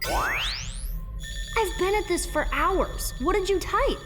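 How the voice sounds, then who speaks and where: High-voiced, animated teen heroine. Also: female voice, slow pace, long reverb tail, music in the background, exaggerated voice. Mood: frustrated. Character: bright, earnest, bossy teenage heroine. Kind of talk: animated video game voice acting.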